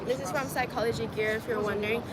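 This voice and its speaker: Woman vlogger, higher voice, chatty live-stream delivery to viewers, outdoor city recording with traffic noise. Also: steady tone, quick pace. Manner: chatty, laughs easily, talks to viewers as "guys". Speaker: vlogger reading live chat. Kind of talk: casual livestream chat. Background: street and traffic noise.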